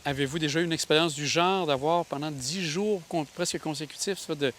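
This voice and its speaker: Halting local TV interviewer. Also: male voice, fairly high pitch, a bit bright, slight background noise. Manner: long questions with halting restarts. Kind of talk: interview questions.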